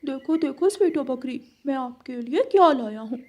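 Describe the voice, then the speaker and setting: Woman acting a cartoon crow boy, high voice, jealous, sly, wheedling. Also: lively intonation, moderate pace, mellow. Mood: eager. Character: scheming, jealous young male crow. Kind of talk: children's cartoon story acting.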